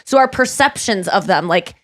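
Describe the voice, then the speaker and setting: Dry close studio sound: a woman host with a slightly smoky morning voice, talking fast and loose. She tells stories in a chatty way and jumps in with little impressions. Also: mid-range voice, expressive, no room sound. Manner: chatty, quick asides and impressions. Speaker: podcast host. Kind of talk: casual chat. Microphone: studio microphone.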